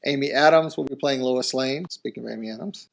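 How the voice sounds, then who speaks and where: A man co-hosting a podcast, reading entertainment news in a steady, matter-of-fact way between casual chat. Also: medium pitch, fairly quick, creaky. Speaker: podcast co-host.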